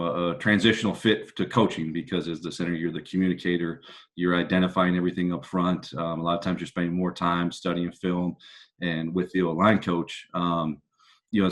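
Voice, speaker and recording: Deep-voiced American male football coach. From California, United States. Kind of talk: interview answers, casual recollection.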